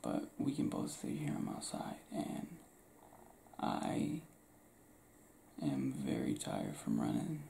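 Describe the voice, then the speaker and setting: Young man, half-whispered, laid-back, gravelly, close to the camera, small room. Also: a bass voice, huge pitch swings, slow pace, long pauses, dark tone, a little hiss or hum. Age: young adult. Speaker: ASMR roleplay creator. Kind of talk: ASMR roleplay, spoken to the listener. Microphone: camera close to face.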